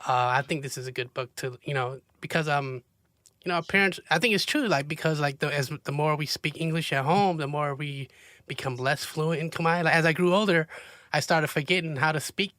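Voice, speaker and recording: A man hosting a podcast, his voice sitting a bit high and sounding bright and forceful. He talks in an eager, chatty way with lots of likes and you-knows, recorded close and dry with no room sound. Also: a little fast, no echo. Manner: chatty, enthusiastic, frequent fillers. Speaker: podcast host. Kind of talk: casual interview conversation.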